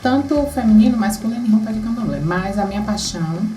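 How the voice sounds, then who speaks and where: A woman in her late thirties from Salvador, a candomblé seamstress, telling her story with warmth and animation in a documentary interview. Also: medium pitch, expressive, fast. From Salvador, Brazil. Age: 30s. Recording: roomy sound with music underneath.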